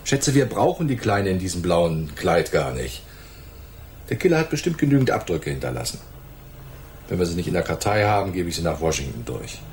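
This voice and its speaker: Police detective, deep, brusque, impatient. Radio drama, slight background noise. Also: male voice, big pitch swings, a little fast. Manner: gruff, bossy. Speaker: actor in a radio play. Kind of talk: hardboiled crime radio drama.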